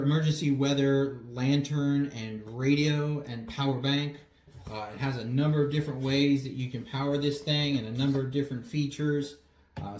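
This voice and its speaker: A man giving a casual product review, explaining features as he demonstrates them. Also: medium pitch. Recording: roomy echo with faint noise.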